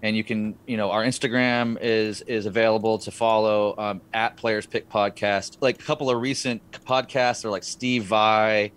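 A man's voice: on the low side, moderate pace, hardly any pauses. Laid-back man on a video call. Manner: relaxed, laid-back, swears casually. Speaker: guitar company rep and podcast host. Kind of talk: casual video call chat.

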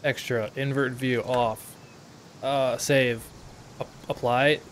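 A man's voice: medium pitch, flat delivery, slow pace. A man chatting casually while gaming, with a laid-back, joking manner. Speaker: gamer, new player. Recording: noisy game voice chat.

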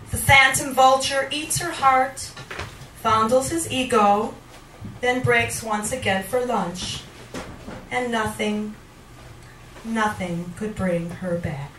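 A middle-aged woman reading poetry live in a theatrical, deliberate manner into a handheld microphone in a small bar room. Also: on the high side, a bit bright. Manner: theatrical, slow and deliberate when reciting. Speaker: poet, featured reader. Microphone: handheld microphone, captured by a camera in the room. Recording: roomy, noisy bar recording from a distance.

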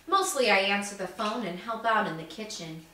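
A woman's voice: medium pitch, lively intonation, normal speed, bright, forceful tone. A woman voicing a good-natured young male student assistant in a storybook, friendly and easygoing. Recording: echoey room, distant mic, background noise.

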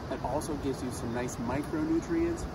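A man's voice: mid-range voice, moderate pace, heavy background noise. A man in his forties hosting an upbeat outdoor cooking vlog on a beach, chatty and enthusiastic, recorded on a camera a few feet away. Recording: distant mic, loud outdoor ambience.

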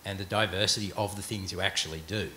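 A man's voice: low-pitched, steady tone, fast talker, bright, forceful tone. A middle-aged man on a panel in a large auditorium, answering hesitantly with many restarts, speaking into a handheld mic. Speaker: panelist, museum executive. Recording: echoey hall, faint noise.

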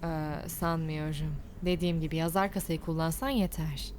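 A woman voicing a weary, practical young shop worker in an animated comedy, casual and matter-of-fact. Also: low-pitched, normal speed, slight background noise, close to an everyday voice. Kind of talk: animated comedy dub acting.

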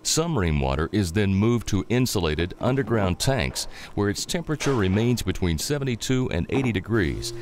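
A man's voice: lowish voice, big pitch swings, normal speed, few pauses, darkish tone, a little hiss or hum. A man reading scripted promotional documentary narration as a narrator.

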